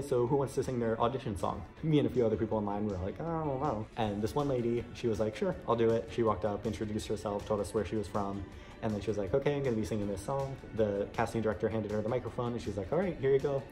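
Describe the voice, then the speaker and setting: A man's voice: fairly low pitch, quick pace, dark tone, faint music behind. A young American man telling a story casually to camera in a chatty, conversational way. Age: young adult. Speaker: vlogger sharing an audition experience.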